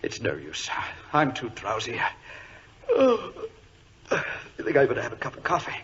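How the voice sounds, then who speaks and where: A man playing an anxious, excitable English government clerk in a 1940s radio drama, overwrought and stammering, with a British accent. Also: mid-range voice, moderate pace, slight background noise. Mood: drowsy, irritated. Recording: dull, lo-fi old radio recording.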